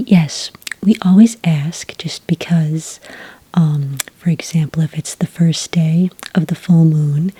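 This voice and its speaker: Breathy, whispering female intake agent played by a woman. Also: low-pitched, no echo, full character voice, rough recording. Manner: gentle, methodical, patient questioning with hesitations. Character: calm, polite, thorough female agent taking werewolf sighting reports. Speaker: ASMR roleplay performer. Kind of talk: soft-spoken ASMR roleplay.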